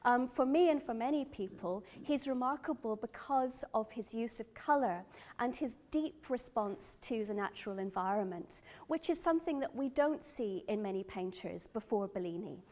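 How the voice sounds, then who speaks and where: Middle-aged Northern Irish woman, slightly high voice, curator giving a lively personal gallery talk. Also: moderate pace. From Northern Ireland. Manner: earnest, enthusiastic, personal. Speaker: museum curator. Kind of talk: gallery lecture. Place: gallery or lecture room.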